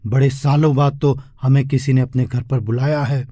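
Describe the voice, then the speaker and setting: A man playing a poor, gentle village father in a moral story, explaining things in a worried, pleading way. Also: medium pitch, fairly even intonation, moderate pace, no room sound, studio quality, close to an everyday voice. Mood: reasoning. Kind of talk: Hindi moral story dialogue.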